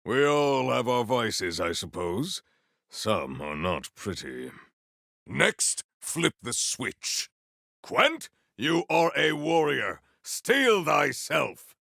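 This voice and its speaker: An actor playing a noble, stern warrior in a video game, speaking with formal, grandiose deadpan deliberation. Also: male voice, medium pitch, drawn-out words, gravelly, dry recording, studio quality, full character voice. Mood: measured, formal. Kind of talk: theatrical video game character acting.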